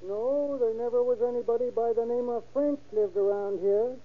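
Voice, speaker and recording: A man's voice: very high-pitched, normal speed. An actor playing a talkative, obliging small-town man in an old-time radio crime drama. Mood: helpful. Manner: folksy, talkative. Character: chatty, obliging small-town male witness. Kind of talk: 1930s-1940s radio acting. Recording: tinny old radio recording.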